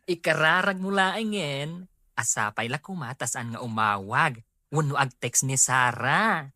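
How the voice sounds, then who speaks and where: A woman's voice: rumbling low, expressive, a little slow, pushed and bright, no room sound, clean studio sound, full character voice. A woman playing a concerned, fretful confidante in an Ilocano radio drama, with a Filipino accent.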